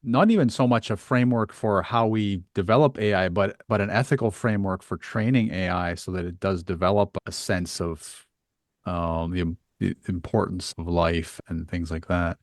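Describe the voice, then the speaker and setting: Thoughtful low-voiced man hosting an interview. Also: fairly even intonation, moderate pace, no room sound, studio quality. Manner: thoughtful, tentative phrasing. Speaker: podcast host. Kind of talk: interview conversation.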